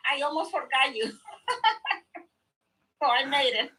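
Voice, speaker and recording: A woman's voice: high-pitched, a little slow, sharp tone. A woman chatting casually as a participant in an online group call. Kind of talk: casual chat.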